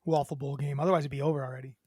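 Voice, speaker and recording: A woman co-hosting a podcast, chatting casually with crude, joking banter and a high voice. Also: fairly even intonation, very fast, darkish tone, husky, no room sound, clean studio sound. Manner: crude, jokey banter about football. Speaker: podcast co-host. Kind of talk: casual chat.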